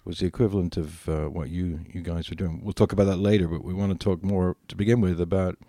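Man, podcast co-host, low mellow voice, casual chat, hesitant phrasing, dry sound. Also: fairly even intonation, fast talker, dry recording.

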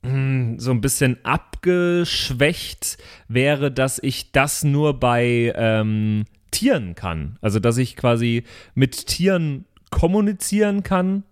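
Male tabletop player, medium pitch, casual and tentative, building ideas out loud piece by piece. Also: animated, slow, clear, resonant tone. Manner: hesitant, thinking aloud in fragments. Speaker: tabletop role-playing player. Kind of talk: casual chat.

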